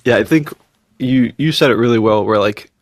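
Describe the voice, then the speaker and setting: A man co-hosting a podcast in casual, excitable, slangy conversation. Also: mid-range voice, normal speed, dry recording. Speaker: podcast co-host. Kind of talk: casual enthusiastic chat.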